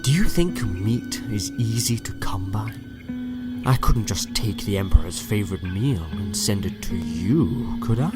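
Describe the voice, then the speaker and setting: Slow, low, sinister doctor played by a man. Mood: menacing. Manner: polite, theatrical, condescending. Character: sinister, urbane male doctor, coolly menacing. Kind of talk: audio drama acting. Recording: loud music behind the voice. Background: loud music.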